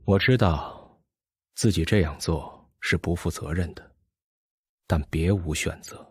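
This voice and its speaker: A man's voice: low-pitched, a little slow, husky, no echo, clean studio sound, heavily acted. An actor playing a bitter, brilliant, hard-drinking physicist in an audio drama, sardonic and theatrical as he lectures. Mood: subdued, sorrowful.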